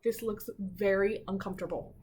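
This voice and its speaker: A woman teacher reading a picture book aloud to children, expressively, with dramatic pauses and her own thoughts along the way. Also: medium pitch, fairly even intonation, darkish tone, a little hiss or hum. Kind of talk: children's read-aloud with commentary.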